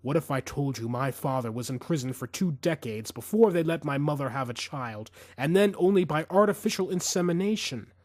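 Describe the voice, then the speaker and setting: A man's voice: a little high, expressive, a little fast, few pauses, darkish tone, no echo, studio quality. Male narrator as vengeful assassin, cold, menacing, taunting, simmering rage, very varied intonation, audiobook thriller. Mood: controlled rage.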